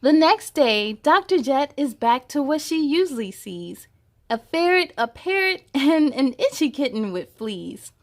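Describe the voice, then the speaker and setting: A woman's voice: high voice, big pitch swings, measured pace. A woman reading a picture book aloud for children, expressively, addressing young listeners with questions and exclamations. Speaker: host of children's read-aloud story time.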